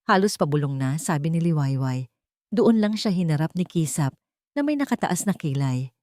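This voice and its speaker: A woman's voice: sits low, lively intonation, normal speed, darkish tone, no echo, clean studio sound. A woman with a Filipino accent narrating a scripted dramatic story.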